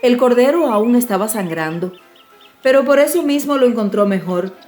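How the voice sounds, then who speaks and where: A woman storyteller giving an expressive, measured narration of a dramatized fairy-tale audiobook. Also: fairly high pitch. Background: music.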